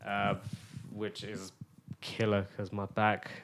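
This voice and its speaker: A young man co-hosting a casual podcast chat, laid-back and quick to laugh. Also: fairly low pitch, monotone, a bit bright, a little hiss or hum. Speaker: podcast co-host.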